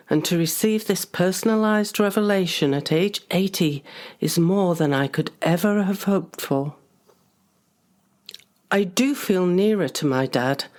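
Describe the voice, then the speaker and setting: Female reader, lower-pitched voice, delivering a personal letter as a prepared read. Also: a little mellow. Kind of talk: reading a written message aloud.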